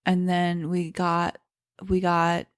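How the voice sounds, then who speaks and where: A woman in her thirties who grew up in Seattle after a childhood move from Iran talks freely as a podcast guest. Her voice sits a little low. She rambles through stories with plenty of fillers, "like"s and restarts. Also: completely flat delivery, slow, clean tone. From Iran, raised in Seattle. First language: Persian. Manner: chatty storyteller with many fillers and restarts. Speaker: stand-up comedian, podcast guest. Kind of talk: casual interview chat.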